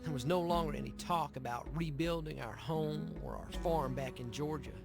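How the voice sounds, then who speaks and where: A man playing a weary preacher who narrates a Western video game, grave and measured. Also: fairly high pitch, creaky, music in the background. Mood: regretful. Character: weary, sorrowful preacher brother of outlaws. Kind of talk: dramatic scripted game narration.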